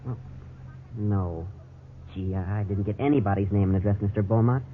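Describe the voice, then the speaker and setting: An actor playing a polite, guilty-feeling American youngster in a 1950s radio drama, earnest, deferential and apologetic. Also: male voice, lowish voice, moderate pace, close to an everyday voice. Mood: sheepish. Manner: earnest, deferential, gee-whiz. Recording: tinny, hissy 1950s radio recording.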